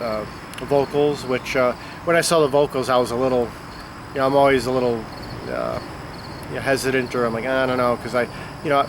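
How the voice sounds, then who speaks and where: A man with a stuffed-up nose, chatting casually about music outdoors and rambling with many fillers. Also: medium pitch. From Chicago area. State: congested, stuffed up. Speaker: record-collecting vlogger. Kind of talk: casual unscripted chat to camera. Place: outdoors on a summer afternoon. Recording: outdoor insect and traffic noise.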